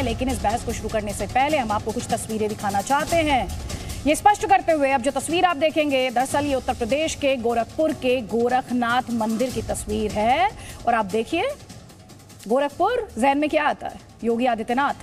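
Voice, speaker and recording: A woman with a high voice presents a television news debate. She is emphatic, repeats her points and poses pointed rhetorical questions. Light music plays behind her.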